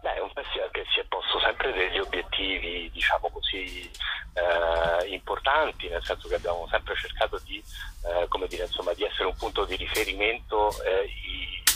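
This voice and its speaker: A man's voice: low-pitched, fairly even intonation, moderate pace, pushed and bright, slight background noise. A man, a festival director, talking on a radio call-in and hesitating with many fillers. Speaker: festival director, phone guest. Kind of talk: radio phone interview. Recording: muffled phone line.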